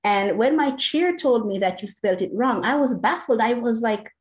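A woman's voice: a little high, lively intonation, moderate pace. A woman with a Jamaican accent, an educator answering an interview question earnestly and passionately, with many "you know" fillers. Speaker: educator and academic.

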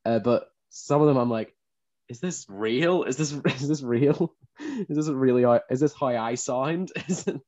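A man's voice: mid-range voice, measured pace, darkish tone, lo-fi sound. A man with a Northern Irish accent co-hosting a podcast, chatting casually and laughing often at himself. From Northern Ireland. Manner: laughing often, self-deprecating. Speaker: podcast co-host. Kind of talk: casual chat.

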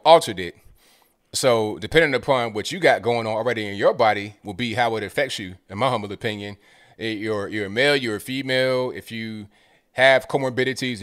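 Low-voiced man hosting a call-in show. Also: fairly bright tone. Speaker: host.

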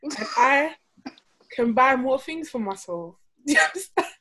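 A woman's voice: high voice, lively intonation, a little slow, pushed and bright, husky. A woman co-hosting a casual podcast, teasing the others and laughing often.

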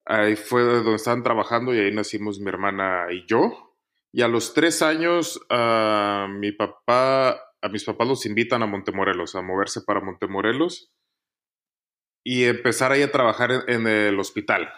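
A man, a podcast guest telling his life story in a relaxed, casual way. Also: on the low side. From Mexico.

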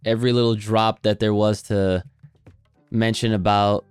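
A man's voice: on the low side, level tone, moderate pace, clean tone, no echo, faint music behind. A man co-hosting a podcast, talking casually with many fillers and restarts. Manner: rambling, lots of fillers and restarts. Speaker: podcast co-host. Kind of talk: casual chat.

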